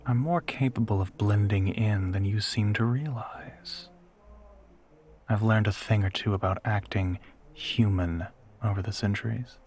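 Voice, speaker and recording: A man's voice: fairly low pitch, a little slow, darkish tone, a little hiss or hum. A man playing an ancient, teasing vampire who poses as a human boyfriend in an audio roleplay, drawling and often slipping toward a whisper. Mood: smug teasing.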